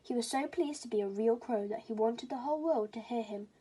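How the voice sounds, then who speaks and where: A woman's voice: fairly high pitch, fairly quick, warm tone, husky. A woman reading a children's story aloud as scripted storybook narration.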